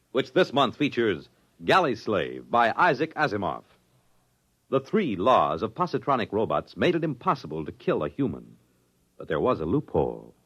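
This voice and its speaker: A man's voice: medium pitch, fairly bright tone. A man announcing a 1950s radio drama programme in a formal, theatrical scripted style. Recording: muffled old radio broadcast, music behind. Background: music.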